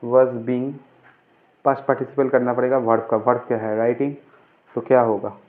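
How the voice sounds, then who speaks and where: Tinny narrow-band recording, male tutor, even pitch, instructive question-and-answer explaining. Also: moderate pace. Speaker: English grammar teacher. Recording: muffled, phone-like, narrow-band sound.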